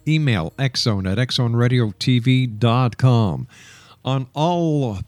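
A man's voice: medium pitch, lively intonation, slow, darkish tone, no echo. Male radio host, dry studio sound. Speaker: radio talk show host. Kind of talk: broadcast introduction and interviewing. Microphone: close studio microphone.

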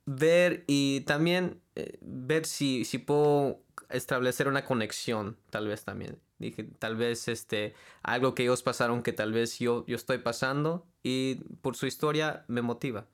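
Young man, 20s, from California, smooth voice, hesitant guest. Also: mid-range voice, steady tone. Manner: halting, searching for words with many fillers. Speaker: interview guest, student and church worship leader. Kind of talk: casual interview conversation.

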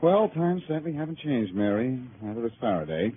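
A man as a suave, lively, wisecracking sleuth. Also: mid-range voice, brisk, close to an everyday voice. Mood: confident, wry. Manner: flippant banter, smooth confidence. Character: suave, teasing, self-assured amateur sleuth. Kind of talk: 1940s radio drama acting. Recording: tinny old radio recording with hiss.

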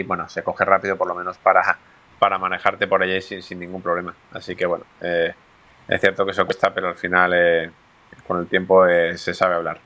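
A man from Spain speaks low and fairly flat, pausing often with fillers as he answers interview questions. His tone is bright and forward. He sounds like a golfer talking about his career. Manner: hesitant, many fillers and restarts. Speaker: professional golfer guest.

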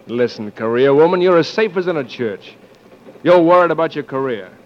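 An actor playing a romantic, breezy American flyer who talks in a wry, coaxing way in a 1940s radio drama. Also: male voice, fairly high pitch, lively intonation, brisk, natural voice. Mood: teasing, coaxing. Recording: muffled, noisy 1940s radio broadcast.

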